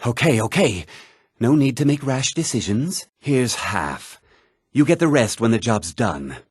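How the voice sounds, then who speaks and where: A man playing a self-assured, smooth-talking thief and merchant in a fantasy video game, with an expressive conversational delivery. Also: medium pitch, a little slow, no echo, clean studio sound. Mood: haughty. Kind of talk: scripted fantasy game character dialogue.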